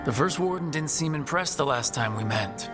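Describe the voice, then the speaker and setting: Man playing a steady, earnest team leader. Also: fairly high pitch, moderate pace, over music, natural voice. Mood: businesslike. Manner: direct, earnest, composed. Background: game score.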